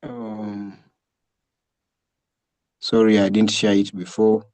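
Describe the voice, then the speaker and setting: A man hosting a casual group video call, speaking haltingly and often repeating his words. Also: on the low side, little pitch movement, darkish tone, dry recording. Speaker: host of an online film session.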